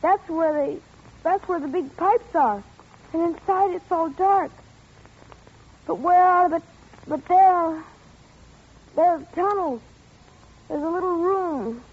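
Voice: very high-pitched, slow, pauses often, exaggerated voice. An actor playing a frightened, stubborn young boy, grieving and resentful, in a 1940s radio suspense drama. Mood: insistent, secretive. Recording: muffled vintage 1940s radio recording.

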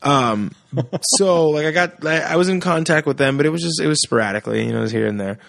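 A man in his thirties, a musician guest on a podcast, telling stories in a casual, animated way and acting out the voices of people he quotes. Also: on the high side, fast, creaky, no room sound, studio quality. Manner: animated storyteller who acts out quoted voices. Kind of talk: casual chat.

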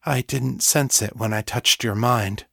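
Man voicing a soft, weary vampire woman. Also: mid-range voice, steady tone, unhurried, no echo, studio quality, full character voice. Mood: gentle, questioning. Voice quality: soft and hoarse. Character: newly turned vampire woman, weary, compassionate, uneasy. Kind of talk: audiobook character acting.

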